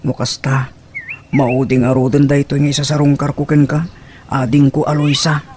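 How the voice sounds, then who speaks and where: A man's voice: mid-range voice, level tone, normal speed, darkish tone, slight background noise, natural voice. A man with an Ilocano accent playing a polite, pleading village suitor in a radio drama. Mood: dejected, pleading.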